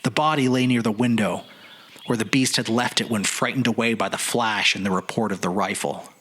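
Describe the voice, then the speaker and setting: A man giving a suspenseful dramatic reading of a horror story, with deliberate phrasing and pauses. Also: fairly low pitch, steady tone, fairly bright tone, slightly rough. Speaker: podcast narrator.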